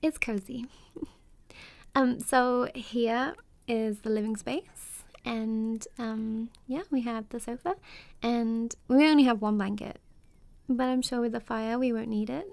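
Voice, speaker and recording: A young woman playing a warm, playful best friend in an intimate ASMR roleplay, chatting casually with a giggly, teasing, hesitant manner. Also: a little high, fairly even intonation, slow pace, creaky, no echo. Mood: welcoming, fond.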